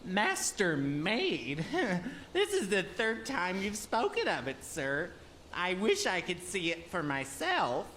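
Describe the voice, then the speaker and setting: A woman's voice: lowish voice, huge pitch swings, takes it slowly, a bit bright, a little hiss or hum, heavily acted. A woman playing a cocky, nonchalant young lad, the easygoing hero of a fairy tale radio play. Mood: feigned innocence.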